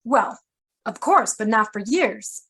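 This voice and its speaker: A shrewd woman of secrets from a hard-boiled radio drama played by an actress. Her voice is a little high. She delivers her lines in a measured, dramatic, knowing manner. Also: animated, fairly bright tone, breathy edge, exaggerated voice. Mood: guarded, defensive. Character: shrewd, wry woman publisher hiding a killer's past. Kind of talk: noir radio drama acting.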